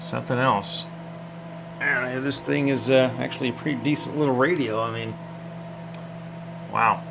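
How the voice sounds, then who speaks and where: Gravelly man, casual tech demonstration. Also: medium pitch, steady tone, moderate pace, background noise. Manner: folksy, hesitant, thinking aloud. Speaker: hobbyist demonstrator. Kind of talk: casual off-the-cuff technical demonstration.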